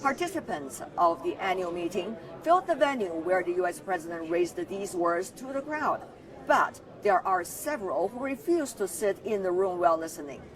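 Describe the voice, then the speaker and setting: A woman's voice: on the low side, big pitch swings, normal speed, distant mic. A woman news host delivering scripted narration in a news report.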